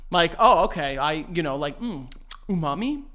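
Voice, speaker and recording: Lively, excitable New man. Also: on the high side, normal speed. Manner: excitable, swearing, laughs often. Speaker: podcast co-host. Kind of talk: casual banter.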